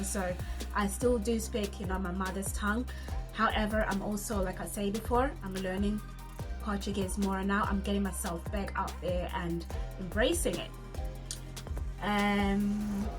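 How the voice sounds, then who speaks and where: Chatty woman over music. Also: mid-range voice. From Zimbabwe. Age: 30s. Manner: chatty, reads out each question then answers it. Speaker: vlogger. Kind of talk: casual get-to-know-me tag.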